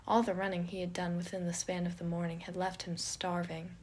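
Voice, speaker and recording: A woman's voice: lowish voice, completely flat delivery, a little fast, a little hiss or hum. A woman reading scripted fiction as the narrator of an audio story.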